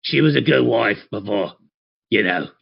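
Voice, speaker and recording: A man's voice: on the low side, animated, moderate pace, creaky, husky, dull audio, exaggerated voice. A man voicing a weary, regretful fellow reading out his chummy farewell confession in a tabletop game. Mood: rueful, resigned. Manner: chummy, rueful, colloquial.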